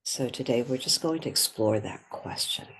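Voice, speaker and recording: A soft-spoken woman leading a guided meditation, pausing between short phrases. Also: very deep voice, lively intonation, husky, poor audio.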